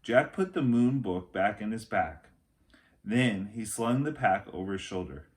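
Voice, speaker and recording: A middle-aged man reading a children's chapter book aloud as a teacher would, with expressive narration. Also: fairly low pitch. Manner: slow, expressive narration with acted dialogue. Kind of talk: children's story read-aloud. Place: small room at home, indoors.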